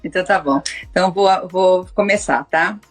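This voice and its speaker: A woman's voice: on the low side, moderate pace, slightly rough, faint music behind. A woman with a Brazilian accent hosting an informal interview podcast, chatty and affectionate in the way she addresses her guest. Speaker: podcast host.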